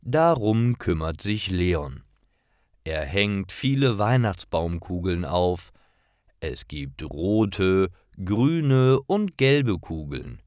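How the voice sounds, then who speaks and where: A man reading scripted stories for language learners in a careful, clearly phrased storytelling manner. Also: low voice, big pitch swings, drawn-out words, darkish tone, no room sound, studio quality. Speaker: narrator of German learner stories.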